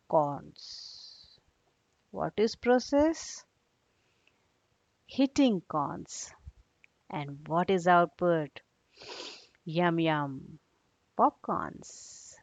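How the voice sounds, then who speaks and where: A woman teacher giving a read-along lesson for young children, explaining in a didactic way and checking understanding with short questions. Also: fairly low pitch. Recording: dull, echoey room recording.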